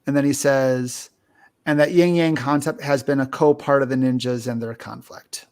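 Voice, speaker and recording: A man's voice: medium pitch, fairly even intonation, moderate pace, darkish tone. A man hosting a podcast, talking casually as he discusses and reviews films. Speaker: podcast host.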